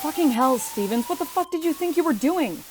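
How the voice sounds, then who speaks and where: A woman's voice: high voice, animated, brisk, slight background noise. A woman playing a confident, tough ex-navy ship captain in an audio drama. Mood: angry, scolding.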